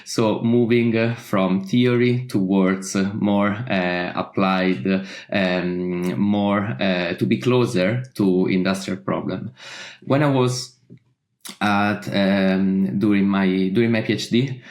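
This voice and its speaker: A man in his thirties with an Italian accent speaks in a fairly low voice as a podcast guest. He halts often, filling gaps with drawn-out fillers and restarting his sentences. The room around him gives his voice a noticeable echo. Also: fairly even intonation, a little mellow. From Italy. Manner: hesitant, many "uh" fillers and restarts. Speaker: startup founder, guest. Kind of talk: podcast interview answers.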